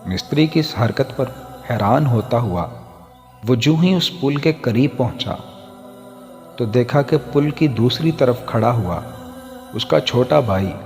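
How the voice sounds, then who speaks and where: A man narrating a scripted moral story as a storyteller, in a measured, formal literary manner. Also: mid-range voice, lively intonation, darkish tone. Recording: long hall reverb, music underneath. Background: background music.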